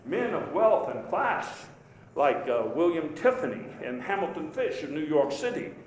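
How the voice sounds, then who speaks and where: An actor playing a vigorous, patriotic elder statesman giving a folksy, emphatic, halting speech in a live historical reenactment. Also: on the high side, big pitch swings. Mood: proud, emphatic. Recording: echoey live hall, faint background noise.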